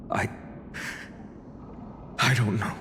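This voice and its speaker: A man playing a resolute young fantasy hero in a game, speaking earnestly and gravely. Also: noisy background. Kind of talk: scripted game voice acting. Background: music.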